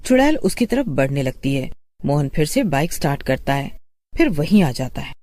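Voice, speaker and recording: A woman's voice: sits low, very animated, moderate pace, a little mellow. A woman storyteller reading a scripted horror tale as narrator.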